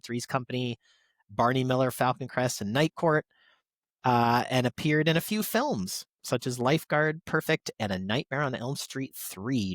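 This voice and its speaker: A man's voice: mid-range voice, no room sound. A man hosting a podcast, reading out tributes in a conversational, stop-and-start way with casual asides. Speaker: podcast host.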